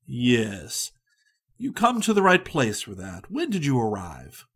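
A man acts out a self-possessed, deliberate gentleman. He weighs each word slowly and calmly, with dry politeness, in a slightly husky voice that still moves in pitch. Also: exaggerated voice. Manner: slow, calm, dryly polite.

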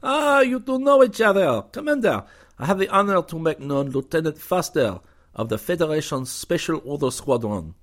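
A man's voice: on the high side, very animated, normal speed, a bit bright, voice pushed up. Brief: male narrator plays a sly, courtly French officer; ornate manners, dry irony. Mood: courtly, ironic. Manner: flowery, courtly and ironic. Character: gracious, sly French male starship commander.

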